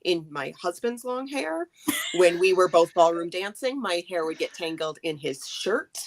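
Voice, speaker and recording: Playful low-pitched woman host. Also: animated, unhurried, rough recording. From United States. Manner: playful, laughing, jokey. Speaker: podcast host. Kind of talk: casual interview chat.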